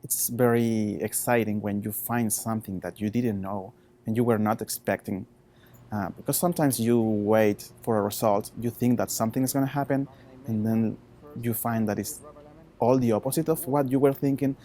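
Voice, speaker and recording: A man in his thirties with a Chilean Spanish accent, a researcher talking informally about his work in halting non-native English. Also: on the low side, fairly even intonation, dark tone, slight background noise. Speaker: postdoctoral researcher.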